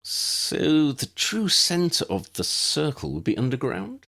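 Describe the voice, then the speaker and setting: Man voicing a cool, husky young man. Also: a little high, sing-song, slow, sharp tone, voice pushed up, exaggerated voice. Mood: matter-of-fact. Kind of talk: audiobook character voice.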